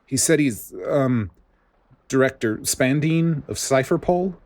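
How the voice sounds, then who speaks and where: A man acting out a wide-eyed, nervous young male soldier in an audio story. The voice is lifted well above a deep natural register, with timid, stammering hesitation. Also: lively intonation, takes it slowly, a little mellow.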